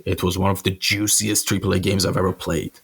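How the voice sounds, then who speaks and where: Podcast host, male, low voice, eager and chatty. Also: level tone, fairly quick, creaky, fairly bright tone, slightly rough. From Iran. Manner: enthusiastic, fills with "like". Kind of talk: casual podcast conversation.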